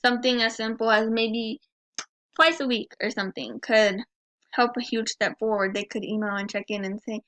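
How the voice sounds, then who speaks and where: A young woman in her early twenties, a native Spanish speaker, presents to her class with a slightly high voice. She talks earnestly and personally, often restarting a phrase and working her way to the point. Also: fairly even intonation, normal speed, fairly bright tone. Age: early 20s. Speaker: student presenter.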